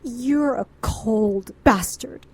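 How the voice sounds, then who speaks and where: A woman's voice: on the high side, very slow, a little mellow, breathy edge, exaggerated voice. Desperate, defiant woman occultist. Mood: defensive, anguished. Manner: earnest, indignant, intense. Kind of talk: audio drama acting.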